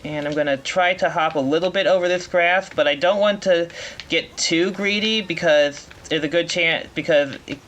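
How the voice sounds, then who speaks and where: A man giving live speedrun commentary, explaining as he plays in a halting way, often rephrasing mid-sentence. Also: fairly high pitch, a bit bright, slight background noise. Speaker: speedrunner playing at a marathon.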